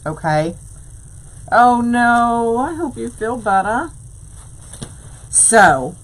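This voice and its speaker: A woman leads a live craft lesson in a ribbon-filled craft room. She talks slowly and casually in a lowish voice. She chats with her viewers between steps. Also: poor audio. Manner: chatty, says "y'all", answers live chat. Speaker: wreath-making tutorial host.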